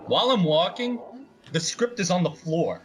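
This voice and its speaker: Animated man chatting on a film commentary. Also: high voice, moderate pace. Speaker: actor on a cast commentary. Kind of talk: casual film commentary chat.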